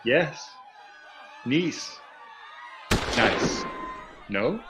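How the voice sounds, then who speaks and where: A man's voice: a little high, huge pitch swings, extremely slow, a loud crowd, heavy background noise, heavily acted. A man acting a wealthy, breezy, self-satisfied husband in a play reading, with a chatty delivery. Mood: cheerful, teasing. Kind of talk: staged play reading over video call.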